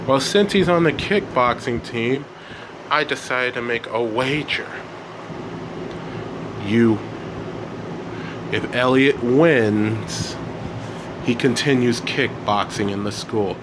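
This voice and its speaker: A man voicing an evil, smug, lying vice principal in a story, taunting and deliberate. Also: mid-range voice, background noise, natural voice. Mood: smug, gloating.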